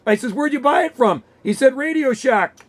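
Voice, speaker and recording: High-voiced Canadian man chatting enthusiastically. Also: animated, normal speed. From western Canada. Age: around 60. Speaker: CB radio hobbyist. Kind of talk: casual radio chat, reminiscing. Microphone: vintage desk radio microphone.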